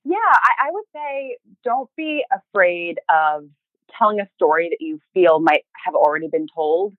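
Woman podcast guest, bit low pitched, chatty and halting, casual interview, dry sound. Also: lively intonation, dry recording, narrow, tinny audio. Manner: chatty, halting, acts out remembered thoughts and emails in quoted speech. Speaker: podcast guest, fiction podcast creator and writer.

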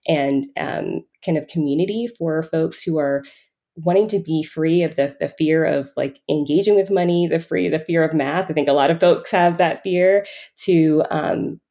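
A woman giving reflective answers as an interview guest, speaking in a halting, conversational way as she works out her thoughts. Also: deep voice, creaky, dark tone. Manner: halting, thinking aloud, frequent hedging with "kind of" and "like". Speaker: podcast guest, financial coach.

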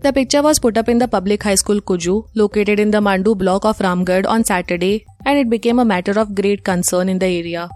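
A woman's voice: medium pitch, a little fast, few pauses, darkish tone, no echo. Indian woman reading news over music. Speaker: news presenter. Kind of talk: scripted news bulletin reading. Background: music under the voice.

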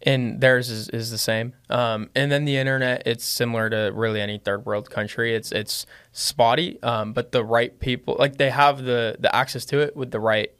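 A man with a slight Lebanese accent, talking casually as a podcast guest. He rambles with many fillers and restarts, and grows livelier and louder when excited. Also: mid-range voice, normal speed, hardly any pauses, smooth. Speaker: podcast guest, business owner. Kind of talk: casual conversation.

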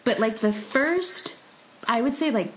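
A woman's voice: fairly high pitch, animated, unhurried, noisy background. A woman co-hosting a podcast in casual chat, chatty and laughing often, with many fillers. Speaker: podcast co-host.

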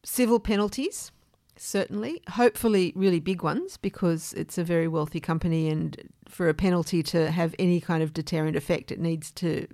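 A woman with a fairly low voice and noticeable vocal fry talks as a podcast host. She explains in a measured, informal way, and the sound is dry with little room to it. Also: dark tone, dry recording.

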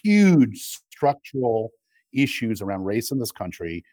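A middle-aged man in a conversational political discussion, arguing in a halting, reflective way. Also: mid-range voice, sing-song, a little mellow, no room sound. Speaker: interview guest.

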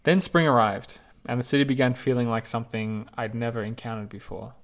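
A man reading his own reflective essay aloud in a measured, lyrical, unhurried way. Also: mid-range voice.

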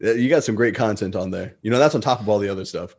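A man's voice: lowish voice, racing, a little mellow. A man hosting a podcast in a casual, informal and affirming way. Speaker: podcast host. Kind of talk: casual chat.

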